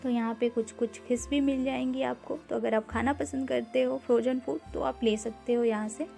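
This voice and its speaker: A woman giving an unhurried, casual walkthrough of a grocery store, filming on a handheld phone and mixing English words into her talk with frequent "uh" fillers. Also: fairly high pitch, fairly even intonation, vocal fry, mellow, soft background music. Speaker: vlogger touring a grocery store.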